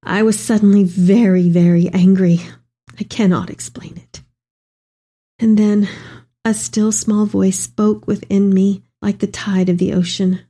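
A woman's voice: medium pitch, flat delivery, unhurried, mellow, lightly acted. A woman playing an elderly, devout mother in a dramatic reading of letters to her son, with tender, heartfelt delivery. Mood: anguished. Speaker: dramatic reader. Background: music.

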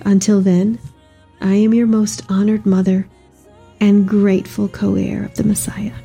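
Casting: actress for an aged mother of the Messiah in audio drama, soft dark tone with a husky grain, tender, reflective monologue. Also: medium pitch, level tone, unhurried, soft background music. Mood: peaceful, loving. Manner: reflective, reverent storytelling. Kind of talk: dramatic monologue reading of a letter.

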